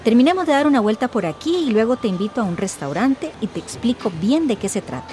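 An actress playing a chatty woman recruiter in a radio soap, persuasive and smoothly reassuring. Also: on the high side, very animated, fast talker, mellow, slight background noise.

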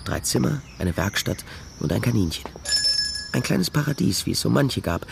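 Gravelly, wry private detective. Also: male voice, lowish voice, lively intonation, normal speed, slight background noise. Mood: dry narration. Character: Nestor, wry, dogged male private detective. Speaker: detective narrator and lead character. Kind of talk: radio crime drama, narration and dialogue.

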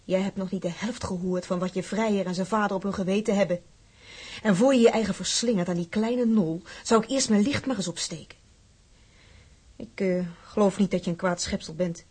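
An actress playing a feisty, proud working-class wife in a radio drama, fiercely loyal, blunt and sardonic. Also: female voice, medium pitch, brisk, darkish tone, husky, close to an everyday voice. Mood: scornful.

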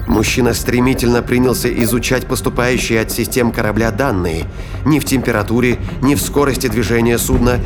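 A man giving scripted dramatic narration of a science fiction thriller in a deliberate, suspenseful manner. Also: mid-range voice. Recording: music behind the voice.

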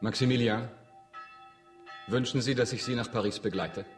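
A man's voice: mid-range voice, steady tone, moderate pace, soft background music, close to an everyday voice. An actor in a German radio drama playing a commanding, earnest, fatherly count, solemn and intense. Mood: urgent concern.

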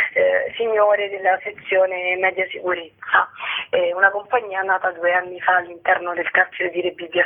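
Woman on a tinny phone line. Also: mid-range voice. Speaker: theatre director being interviewed. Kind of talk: radio interview, explanatory talk. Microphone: telephone.

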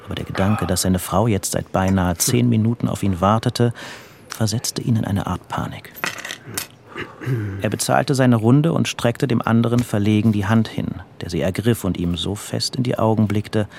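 A man's voice: on the low side, moderate pace, creaky, noisy background. A man narrating a scripted literary story as the narrator of an audio drama. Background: music.

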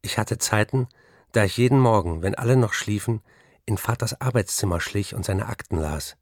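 An actor playing a reflective writer who recalls his bookish childhood in measured, literary phrases for a radio play. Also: fairly low pitch, dry recording, studio quality, close to an everyday voice. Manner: measured, literary first-person recollection.

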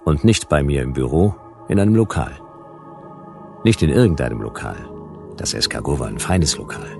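A man's voice: extremely low pitch, lively intonation, moderate pace, creaky, music in the background, exaggerated voice. An actor playing a world-weary, wry private detective who narrates his case in laconic, sardonic phrases in a radio play. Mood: dry, sardonic. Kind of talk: hard-boiled radio drama narration.

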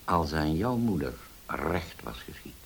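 A very deep, slow, troubled father. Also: sing-song, vocal fry, heavily acted. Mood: bitter. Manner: halting, hesitant, solemn, with many pauses. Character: grave, troubled widowed father, burdened by old betrayal. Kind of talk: Dutch radio play acting. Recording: hissy old radio play recording.

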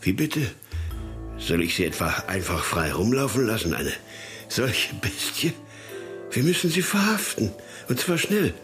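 Very deep-voiced, commanding police chief. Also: male voice, sing-song, unhurried, long pauses, a bit bright, soft background music. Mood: indignant. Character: indignant senior police official, commanding, excitable man. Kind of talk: radio crime drama acting.

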